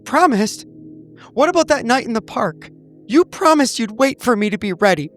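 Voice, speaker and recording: A man's voice: very high-pitched, animated, moderate pace, fairly bright tone, faint music behind, voice pushed up. Man playing a desperate, pleading, regretful lover. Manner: pleading, stammering. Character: desperate, regretful man begging his old male lover back.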